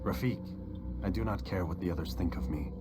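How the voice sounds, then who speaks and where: An actor playing a cold, confident young assassin in a video game, stern, terse and self-assured. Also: male voice, low-pitched, brisk, loud music behind the voice, natural voice. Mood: curt. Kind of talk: dramatic video game acting. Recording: heavy background noise.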